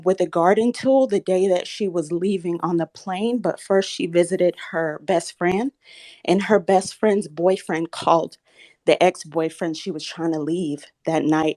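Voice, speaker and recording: A woman in her thirties from the Southern United States, calling into a live talk room and speaking earnestly with a slightly shaky voice. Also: lowish voice. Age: 30s. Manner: soft-spoken, earnest, grows firm and insistent when challenged. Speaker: caller. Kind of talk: live audio chat room.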